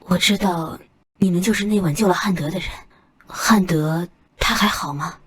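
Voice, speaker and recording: A woman voicing a timid, sorrowful ghostly mother in an animated drama, with a small, breathy voice. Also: lowish voice, moderate pace. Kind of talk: Chinese animated drama voice acting.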